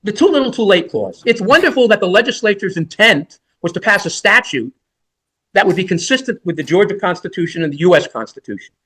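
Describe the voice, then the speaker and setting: High-pitched older man arguing in court. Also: animated, fairly quick, a bit bright, slightly rough. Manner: rhetorical, emphatic, repetitive. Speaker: defense lawyer. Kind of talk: courtroom oral argument.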